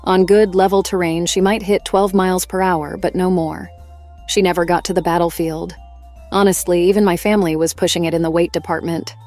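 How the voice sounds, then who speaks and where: A woman's voice: fairly low pitch, flat delivery, fairly quick, a little mellow, faint music behind, lightly acted. A woman playing a frank, cheeky personified heavy tank in a scripted audio drama. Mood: wry. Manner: frank, wry.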